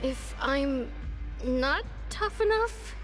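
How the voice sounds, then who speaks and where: Casting: woman playing a whiny young boy, high and swooping, game audio. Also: animated, drawn-out words, full character voice. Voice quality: whiny, complaining. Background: faint game music.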